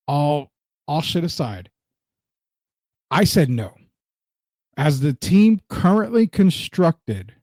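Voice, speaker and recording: A man's voice: medium pitch, dark tone, dry recording. A man from Rico, Spanish speaker, hosting a casual sports talk show and driving his points home by repeating them. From Puerto Rico. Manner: emphatic, repeats his points, reads out viewer comments.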